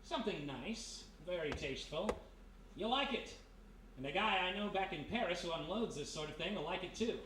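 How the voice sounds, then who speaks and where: A woman's voice: on the low side, animated, moderate pace, close to an everyday voice. Echoey, wisecracking male ex-thief detective acted by a woman. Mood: cocky, wry. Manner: breezy, wry banter. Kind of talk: 1940s radio detective acting. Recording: echoey live room, distant mic, faint noise.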